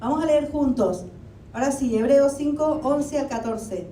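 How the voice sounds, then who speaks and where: Woman preacher, high mellow voice, chatty sermon full of questions, roomy hall with the mic set back. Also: moderate pace. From Argentina. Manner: conversational preaching with rhetorical questions. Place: church hall. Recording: echoey hall, mic at a distance, faint rumble.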